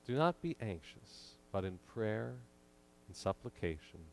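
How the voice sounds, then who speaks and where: A man in his thirties preaching a sermon from a church pulpit, measured and emphatic with frequent pauses, into a pulpit microphone. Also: fairly low pitch, very animated, vocal fry, a little mellow, a little hiss or hum, low-quality recording. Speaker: pastor.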